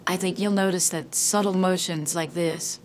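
A man speaking through an AI voice changer that turns his voice into a woman's, voicing a calm digital woman avatar in a plain, matter-of-fact way. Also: high-pitched, moderate pace, slight background noise, pitched above the performer's own voice.

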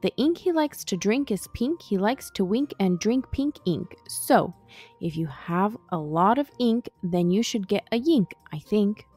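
A woman's voice: medium pitch, lively intonation, measured pace, dark tone, husky. Woman reading a rhyming children's storybook. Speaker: children's book reader. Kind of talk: expressive read-aloud of a rhyming storybook. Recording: music behind the voice. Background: background music.

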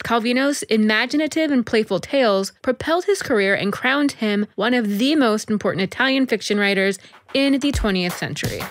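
A woman's voice: fairly high pitch, dry recording. A woman co-hosting a podcast, chatting casually, laughing often, sometimes unsure of herself. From Pacific Northwest. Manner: lively, laughs often, hesitant. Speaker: podcast co-host. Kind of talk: casual chat and scripted intro.

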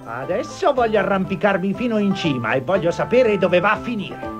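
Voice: medium pitch, very animated, brisk, over music, close to an everyday voice. A performer voicing a naive village youngster in an Italian cartoon dub, exclaiming expressively. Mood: eager. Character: naive lazy village boy, and his scolding mother.

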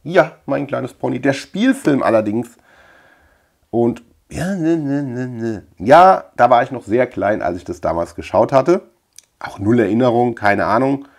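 Middle aged guy from germany, normal pitch, just chatting about his collection, kinda rambly and informal. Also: animated, unhurried, creaky, darkish tone. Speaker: video collector and channel host. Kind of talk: casual collection show-and-tell. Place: indoor room lined with shelves of tapes.

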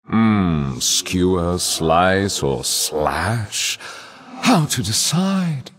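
An actor playing a haughty, sadistic vampiric lord in a video game, with theatrical, pompous, aristocratic delivery. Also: male voice, low voice, big pitch swings, drawn-out words, fairly bright tone, exaggerated voice. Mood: brooding, mocking. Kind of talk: video game character voice lines.

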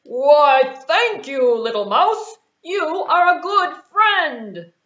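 A woman voicing a big, proud male lion who talks loudly and boastfully in a puppet show. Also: sits high, sing-song, drawn-out words, pitched above the performer's own voice, heavily acted. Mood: thankful. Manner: loud, boastful, roaring. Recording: echoey room, dull lo-fi sound.

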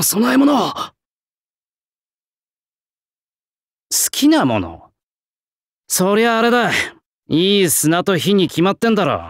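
Blunt, lively young swordsmith. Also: male voice, a little high, no room sound, clean studio sound. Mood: startled, then casual, frank. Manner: rough, blunt, old-fashioned working-class turns of phrase. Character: gruff, plain-spoken young male swordsmith spirit, proud craftsman. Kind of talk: anime-style mobile game character lines.

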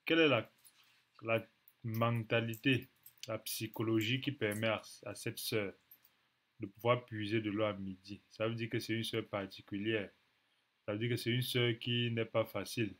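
A man in his thirties, sick with a cold and clearing his throat, teaching from the Bible to a webcam in a small room at home, repeating phrases slowly for emphasis. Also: lowish voice, fairly bright tone. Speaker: preacher.

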